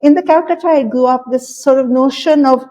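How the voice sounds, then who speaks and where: A woman's voice: high voice, a little fast, dark tone. A woman in her sixties from India, speaking as an interview guest in a reflective, thoughtful way with frequent fillers. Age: 60s. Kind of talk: interview conversation.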